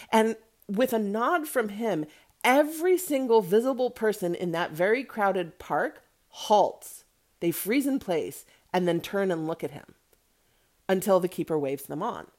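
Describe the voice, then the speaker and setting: A woman's voice: fairly low pitch, darkish tone. A woman hosting a podcast, chatting casually and giving opinionated movie reviews with emphatic asides and check-ins. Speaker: podcast host.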